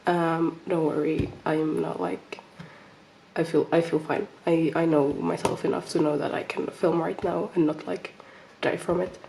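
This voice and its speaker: A woman's voice: low voice, little pitch movement, moderate pace, creaky, dark tone, slight background noise, poor audio. A woman showing her collection in a casual vlog, rambling with lots of ums and restarts, slightly sick and nasal. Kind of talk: casual show-and-tell vlog.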